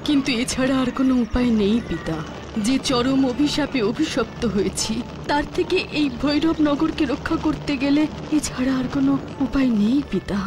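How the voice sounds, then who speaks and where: An actress playing a dutiful, courteous young princess in a cartoon, earnest, formal and dramatic. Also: female voice, high voice, normal speed, noisy background, natural voice. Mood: sorrowful, resolute. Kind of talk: cartoon voice acting. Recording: music behind the voice.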